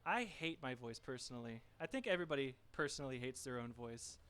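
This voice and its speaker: A soft-spoken man chatting casually with viewers as he cooks and cleans up in his home kitchen, reading chat messages aloud. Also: medium pitch, fast, smooth. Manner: relaxed, chatty, talks to viewers while working. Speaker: streaming home cook.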